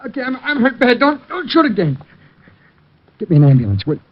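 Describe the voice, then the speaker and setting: An actor playing a badly hurt, frightened criminal in an old radio detective drama, halting and short of breath. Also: male voice, very high voice, wildly swooping pitch, heavily acted. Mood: pained, frightened. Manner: halting, faltering, repeats words. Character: wounded male criminal, gasping and frightened. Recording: dull vintage radio recording.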